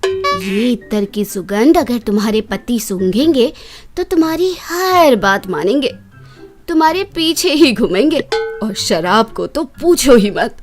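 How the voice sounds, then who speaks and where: A woman's voice: a little high, lively intonation, a little slow, soft background music. A woman playing a kindly older aunt who explains and cautions earnestly in a children's moral story. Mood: reassuring.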